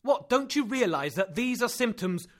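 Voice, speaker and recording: A man voicing a terrified young man who shouts frantically. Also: medium pitch, expressive, quick pace. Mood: frantic. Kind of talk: audiobook character reading.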